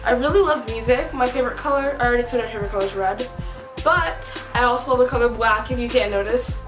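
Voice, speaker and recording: A woman's voice: fairly high pitch, fast talker, roomy sound, music in the background. A fifteen-year-old girl from the East Coast chatting casually to camera in her bedroom, rambling upbeat with lots of "like" and "whatever". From United States, East Coast. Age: teenager, 15. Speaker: vlogger on a shared channel. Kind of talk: casual get-to-know-me chat to camera. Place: small bedroom indoors. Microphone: camera mic at close range.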